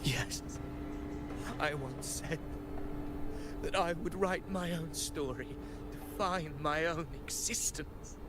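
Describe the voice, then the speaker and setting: A man's voice: on the high side, slow, long pauses. An actor playing an anguished young man succumbing to a grandiose mad king's fate in a video game, with dramatic, solemn, theatrical delivery. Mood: weary, regretful. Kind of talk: video game cutscene acting. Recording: loud music over the voice. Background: loud game soundtrack.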